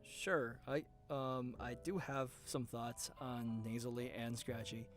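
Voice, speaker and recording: A man with a mid-range voice co-hosts a livestream about singing. He speaks casually and warmly engaged, relaying viewer questions and adding his own experience. Faint music sits behind his voice. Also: mid-range voice, steady tone, takes it slowly. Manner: casual, reads out viewer questions, eager reactions. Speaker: co-host.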